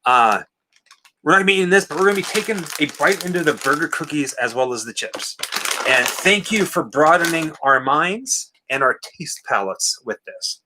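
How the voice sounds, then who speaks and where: A man with a booming deep baritone voice, co-hosting a live movie watch party in casual, apologetic chat. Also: lively intonation, moderate pace, background noise. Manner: apologetic, chatty, reads out viewer names and thanks.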